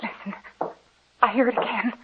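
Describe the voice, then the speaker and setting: An actress playing a frightened, earnest young wife in a 1940s-style haunted house radio drama. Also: female voice, fairly high pitch, fairly even intonation, moderate pace, natural voice. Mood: nervous. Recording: muffled, noisy old radio recording.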